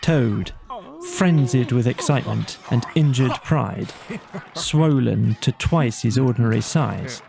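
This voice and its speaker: A man narrating a bedtime storybook in a measured, dramatic storytelling manner. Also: medium pitch, vocal fry, over music. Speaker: audiobook narrator.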